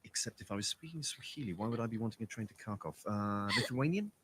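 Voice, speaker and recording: An actor playing a playful, charming man who jokes quickly and mocks himself in a TV drama. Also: fairly low pitch, animated, a bit bright, slight background noise. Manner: quick, joking, self-mocking. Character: playful charming man joking with a sick friend. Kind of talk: TV drama acting.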